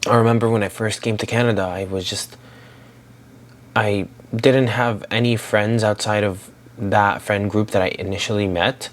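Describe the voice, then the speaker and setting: A man in his twenties, originally from Syria, talking reflectively in a personal daily vlog, rambling with many fillers and restarts. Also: fairly low pitch. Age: 20s. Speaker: daily vlogger.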